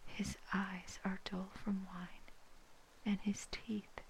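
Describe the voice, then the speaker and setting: A woman reading scripture in a soft, breathy, unvoiced whisper, ASMR style, with measured phrasing. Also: mid-range voice, flat delivery, a little hiss or hum. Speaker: scripture reader.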